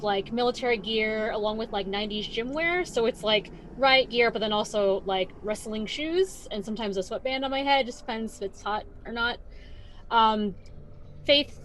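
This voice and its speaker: A woman's voice: a little high, slight background noise. A woman playing a tabletop game, chatting casually about her character with lots of fillers. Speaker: player.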